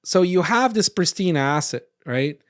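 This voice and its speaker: A man from Brazil hosting a casual roundtable podcast, rambling and often tagging his points with quick questions. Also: high-pitched, expressive, fairly bright tone. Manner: rambling, frequent tag questions. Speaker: podcast host.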